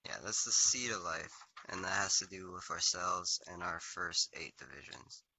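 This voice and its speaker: A young man in his late teens answers interview questions hesitantly, filling gaps with ums and you-knows. His voice is very low but cuts through with an intensely bright, tense edge. The sound is dry and dull, like lo-fi video call audio. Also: steady tone, moderate pace, slightly rough, dry recording. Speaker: guest.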